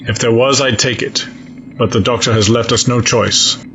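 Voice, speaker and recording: A man playing a stern, coldly logical fleet admiral in an audio drama, speaking in a measured, formal, grave way. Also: medium pitch, steady tone, crowd noise, natural voice. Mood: resolute. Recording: dull lo-fi sound, audible noise.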